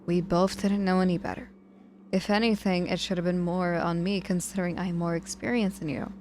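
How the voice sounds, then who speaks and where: Woman acting a low-pitched protective witch mentor, noisy background. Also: natural voice. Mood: gently firm. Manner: dry teasing turning apologetic and protective, hesitant pauses. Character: cocky but softening witch mentor, injured in hospital. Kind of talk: ASMR audio roleplay acting.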